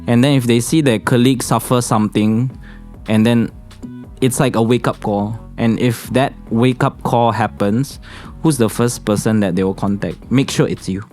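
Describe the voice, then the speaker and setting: A young man with a Singaporean English accent, speaking calmly and evenly as a financial consultant guest on a podcast. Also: normal speed, soft background music. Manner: calm, low-key, says he speaks monotonously. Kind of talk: casual podcast chat.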